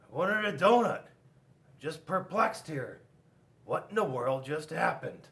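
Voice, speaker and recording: A man voicing a greedy, excitable, easily perplexed skunk in a storybook, with emphatic drawn-out exclamations. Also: on the high side, exaggerated voice. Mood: perplexed, put out.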